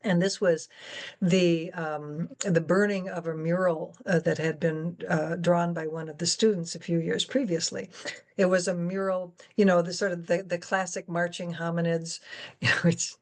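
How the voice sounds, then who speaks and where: A woman's voice: lowish voice, level tone, a little slow, a little mellow. A woman moderating a public discussion, speaking conversationally in an explanatory, opinionated way. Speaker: guest moderator, science educator.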